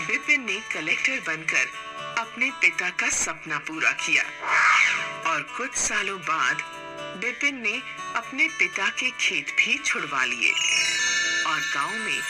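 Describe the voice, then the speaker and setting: A woman's voice: lowish voice, big pitch swings, takes it slowly, loud music behind the voice. A woman storyteller reading scripted narration for a moral story.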